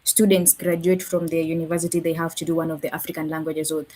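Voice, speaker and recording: Husky-voiced woman on a video call. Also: deep voice, monotone, fast, dark tone. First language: not Swahili. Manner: thoughtful, searches for words with many fillers. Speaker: guest language educator. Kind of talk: live online conversation.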